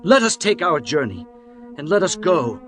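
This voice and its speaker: A man voicing Jacob, an anxious older patriarch who pleads humbly, over soft music. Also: a little high, sing-song, normal speed, voice pushed up. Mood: pleading.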